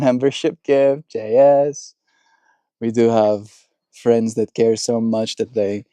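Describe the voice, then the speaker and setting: Gentle Afrikaans-speaking man, dry close sound. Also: mid-range voice, no echo. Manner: gentle, warm-hearted sign-offs with soft blessings. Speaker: live stream host. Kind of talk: reading a poem aloud and chatting with viewers.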